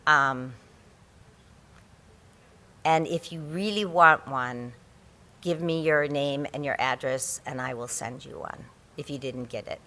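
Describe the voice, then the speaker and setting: Low-voiced chatty woman teaching a seminar. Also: slow, long pauses, pushed and bright. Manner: chatty, self-deprecating, restarts often. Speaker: environmental law instructor. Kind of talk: conference presentation. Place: large conference room. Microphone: handheld or podium microphone.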